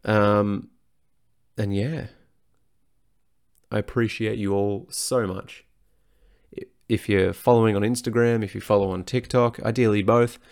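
A low-pitched man with a hoarse, worn voice talks on his own podcast. He speaks frankly and swears freely in casual chat. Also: steady tone, unhurried, long pauses, a little mellow. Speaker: personal trainer and podcast host. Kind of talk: casual solo podcast talk.

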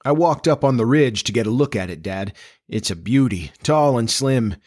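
A man voicing an eager, starry-eyed nineteen-year-old farm boy in a storybook, with an excitable, folksy, boyish manner. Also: mid-range voice, normal speed, pitched above the performer's own voice, close to an everyday voice. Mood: excited.

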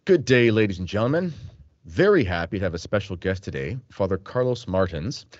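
A man's voice: low-pitched, big pitch swings, brisk, a little mellow, no room sound, highs cut off. Chatty Canadian man hosting. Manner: chatty, joking, many fillers. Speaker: host. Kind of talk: interview podcast hosting.

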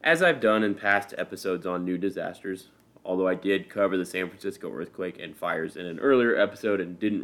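Male podcast host, Midwestern American, lowish pitch, informal solo explainer with dry, self-deprecating humour. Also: sharp tone. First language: English. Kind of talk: casual solo explanatory narration.

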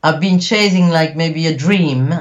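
A man's voice: fairly high pitch, a little slow, lo-fi sound. A man from Belgium with a Belgian French accent, a guest actor speaking earnestly in a casual interview, with many fillers.

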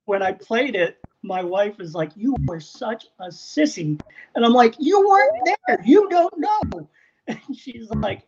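A man guest on a video call, telling his own story with laughs and animated quoting. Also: extremely high pitch, unhurried, breathy edge. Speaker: guest, caretaker of a haunted house. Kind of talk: casual interview chat. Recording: dull noisy video-call audio.

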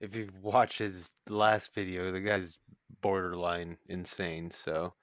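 Low-voiced man co-hosting a podcast, dry sound. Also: level tone, slow, a bit bright, no echo. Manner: loose, hesitant, lots of "uh" and "like". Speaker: podcast co-host. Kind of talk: casual podcast chat.

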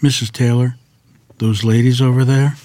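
A man's voice: mid-range voice, fairly even intonation, moderate pace, no echo, close to an everyday voice. A man playing a courteous, devout rural piano tuner in a period table read, deferential and folksy. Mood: respectful. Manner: deferential, folksy, gently persuasive.

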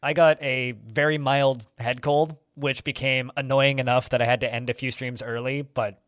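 A man's voice: mid-range voice, level tone, normal speed, a bit bright, dry recording. A man hosting a podcast in casual banter, teasing in a dry, mock-serious way. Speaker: podcast host.

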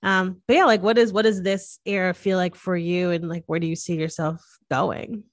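A woman's voice: fairly low pitch, level tone, normal speed, no room sound, clean studio sound. A woman hosting a casual podcast interview, informal and encouraging, with loose, rambling questions. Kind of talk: casual chat.

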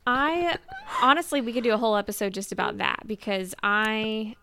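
Bright, clear-voiced woman chatting playfully. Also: fairly high pitch, lively intonation, moderate pace. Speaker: podcast co-host. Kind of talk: casual fan chat.

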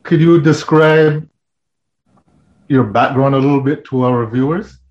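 A man's voice: mid-range voice, a little slow, darkish tone. A man hosting an interview, asking short questions and giving brief replies. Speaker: interview host. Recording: dull lo-fi sound.

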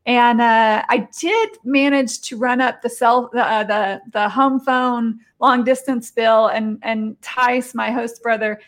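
A woman's voice: on the high side, steady tone, slow pace, hardly any pauses. A middle-aged woman chatting casually as an interview guest, telling personal stories. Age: 40s or 50s.

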